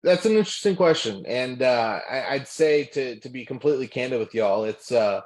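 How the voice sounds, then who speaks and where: A man's voice: medium pitch, a little fast. A young man from California, a former college football lineman, chatting candidly as a guest from his home, with lots of fillers and restarts. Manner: relaxed and candid, many fillers and restarts, laughs often. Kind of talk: casual interview chat. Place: home room.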